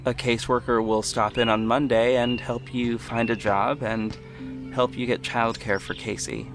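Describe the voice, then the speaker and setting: A man playing a protective, self-assured ex-cop detective with a measured, firm delivery in an audio drama. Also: medium pitch, little pitch movement, natural voice. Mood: reassuring. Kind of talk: first-person audio drama narration and dialogue. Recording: music bed behind the voice. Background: music.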